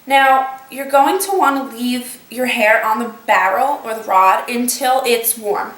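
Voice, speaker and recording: Young woman vlogger, slightly nasal from a cold, chatty step-by-step tutorial delivery, higher voice, recorded from a distance. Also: unhurried, fairly bright tone. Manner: chatty, explains step by step with many fillers. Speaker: beauty vlogger. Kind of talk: casual talk-through tutorial. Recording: echoey room, voice a bit off-mic.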